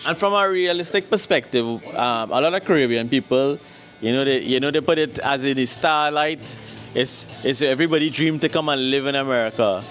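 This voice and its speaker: A man's voice: mid-range voice, fairly quick, a bit bright, faint music behind. A man with a Trinidadian accent hosting a street interview show, upbeat as he introduces guests and asks questions. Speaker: host. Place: city street, then inside a barbershop.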